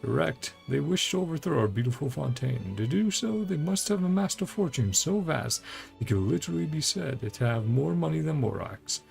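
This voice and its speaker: A man voicing a grandiose, eager treasure-hunting adventurer from a video game, with florid, courtly flattery and theatrical delivery. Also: a little high, normal speed, vocal fry, soft background music. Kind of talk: game dialogue read aloud in character.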